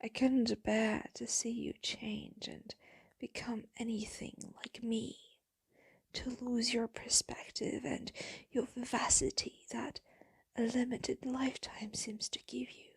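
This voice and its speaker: A woman playing a devoted, melancholy ageless vampire lover in an intimate, breathy ASMR roleplay monologue. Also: fairly high pitch, flat delivery, no echo, exaggerated voice. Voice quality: breathy, whispery. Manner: slow, intimate, tender, breathy delivery.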